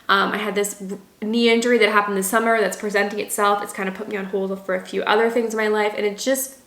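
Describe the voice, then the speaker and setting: A woman's voice: mid-range voice, bright, forceful tone. A woman vlogger talking candidly and emotionally to her viewers, with frequent "like" fillers. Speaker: lifestyle vlogger, business owner. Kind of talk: candid personal vlog, talking to camera. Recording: echoey room.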